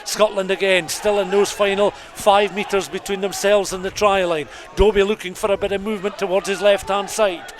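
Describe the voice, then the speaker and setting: Male radio rugby play-by-play caller, high register, detailed scene-setting, live from the ground. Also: steady tone, normal speed, few pauses, a little hiss or hum. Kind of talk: live radio sports commentary. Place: commentary position at a rugby ground.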